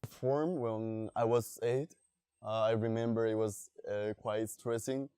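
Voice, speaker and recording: A man recalling his musical life for an interview in halting short phrases. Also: on the low side, steady tone, warm tone, smooth. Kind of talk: documentary interview recollection.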